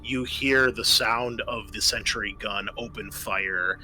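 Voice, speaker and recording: Bright-voiced man running a tabletop game, noisy background. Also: lowish voice, fairly even intonation, slow pace. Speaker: game master and host of a tabletop roleplaying session. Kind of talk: improvised narration and rules talk for a tabletop game. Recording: noticeable background room noise.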